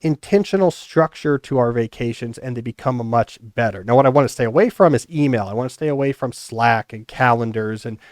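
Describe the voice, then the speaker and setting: A man's voice: mid-range voice, a little fast, hardly any pauses, no echo, clean studio sound. A middle-aged man hosting a podcast in casual, joking conversation, with loose restarts. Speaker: podcast host.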